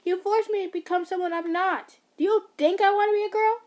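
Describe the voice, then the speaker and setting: A furious, defiant teenage boy, as a woman puts him on, shouting in a high, strained voice. He sounds fed up and bitter, his words tumbling out in indignant bursts. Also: normal speed.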